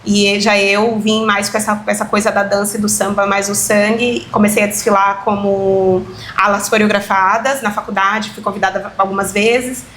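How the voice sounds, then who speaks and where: A woman's voice: medium pitch, moderate pace, slight background noise. A woman, a dance teacher chatting as an interview guest, telling family stories with laughter and emotion. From São Paulo, Brazil. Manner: lively, laughing storyteller, emotional about family. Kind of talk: casual interview conversation.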